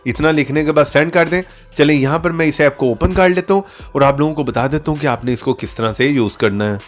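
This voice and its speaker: A man's voice: a little high, brisk. A man giving a casual step-by-step app tutorial voice-over, often checking in as he instructs. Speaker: tutorial channel host. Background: background music.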